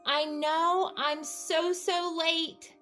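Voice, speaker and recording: A woman's voice: very high-pitched, level tone, extremely slow, pushed and bright, faint music behind, pitched above the performer's own voice, exaggerated voice. A woman reading a children's storybook, voicing a sad, worried, apologetic little girl with a small voice. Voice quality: small, tiny voice. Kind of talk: children's storybook read aloud.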